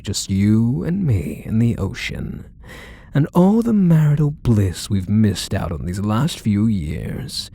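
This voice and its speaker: A man's voice: on the low side, creaky, mellow, husky, exaggerated voice. A man playing a possessive, doting kidnapper husband in an intimate ASMR roleplay, cooing and coaxing with pet names. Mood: sweetly possessive. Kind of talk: ASMR roleplay audio drama, intimate monologue to the listener.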